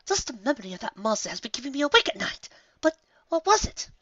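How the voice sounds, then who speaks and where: A genteel, dramatic storybook unicorn lady, acted by a woman. Her voice sits high with lively swings. The recording is dry and a touch dull. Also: fast talker, dry recording. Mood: appalled.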